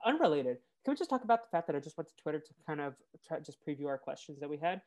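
A woman's voice: sits low, very animated, racing, a little mellow. A woman with a nasal voice co-hosting a podcast, recapping casually and chattily, slipping into quoted lines as she tells it. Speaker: podcast co-host. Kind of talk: casual episode recap and chat.

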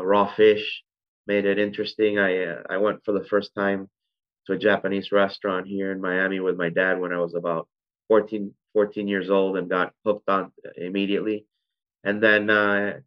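A man in his forties from Venezuela, a restaurant founder telling his story in an interview, rambling with many ums and self-corrections. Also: lowish voice. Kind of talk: conversational interview. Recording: dull lo-fi sound.

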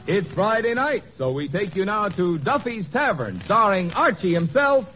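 Animated, high-voiced American radio announcer, male. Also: normal speed. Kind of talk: 1940s radio announcing, sponsor plug. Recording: muffled old radio broadcast with music.

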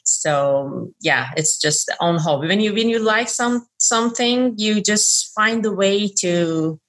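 A woman's voice: mid-range voice, animated, slow pace, fairly bright tone. A middle-aged woman from Iran with an Iranian accent, a guest in an interview, talking with many fillers and restarts and laughing often. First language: Persian. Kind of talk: casual interview conversation.

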